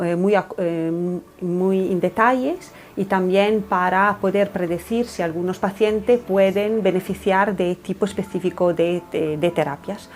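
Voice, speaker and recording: A woman's voice: lowish voice, darkish tone. A woman with an Italian accent explaining her lab work in an interview, hesitant and searching for words. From Italy. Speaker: head of a lab unit. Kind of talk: interview answers in Spanish.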